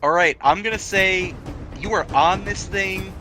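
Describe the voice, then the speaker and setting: A man's voice: high voice, a little slow, music in the background. A man running a tabletop role-playing game as game master, talking informally with the odd swear word.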